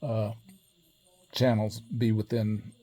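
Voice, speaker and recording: A man giving a casual hands-on technical tutorial in a methodical, matter-of-fact way, pausing as he goes. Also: fairly low pitch, level tone, mellow. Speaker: presenter demonstrating equipment calibration.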